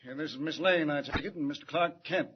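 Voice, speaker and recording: An actor playing an anxious, gruff middle-aged male police commissioner in 1940s radio acting. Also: fairly high pitch, fast talker, noisy background, lightly acted. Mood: worried. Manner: gruff, worried, urgent. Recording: muffled vintage radio broadcast.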